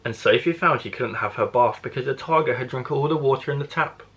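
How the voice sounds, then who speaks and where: A man's voice: mid-range voice, brisk, a bit bright, breathy edge. A man, a primary school teacher, reading a children's storybook expressively to young listeners.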